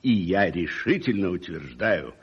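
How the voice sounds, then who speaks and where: An actor playing an opinionated, wealthy older lord in a radio play, speaking in a pompous, emphatic, declamatory way. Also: male voice, sits low, sing-song, measured pace, breathy edge, exaggerated voice. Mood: emphatic, scoffing. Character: opinionated wealthy older English lord, teasing and protective godfather. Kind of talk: 1980s Soviet radio play acting. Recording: muffled 1980s radio drama recording.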